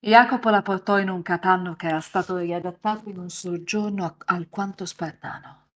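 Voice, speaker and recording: A woman's voice: on the low side, fairly even intonation, moderate pace, fairly bright tone. A woman hosting a live reading, moving between scripted narration and casual chat with co-readers. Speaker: host and narrator of a live visual novel reading.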